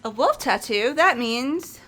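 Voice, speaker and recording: Excitable, guileless schoolgirl female actor, fairly high voice, casual questioning tone for a game dub. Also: slow, exaggerated voice. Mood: curious. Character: naive, excitable, plain-spoken teenage schoolgirl. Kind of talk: fan-dubbed video game character acting. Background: game music.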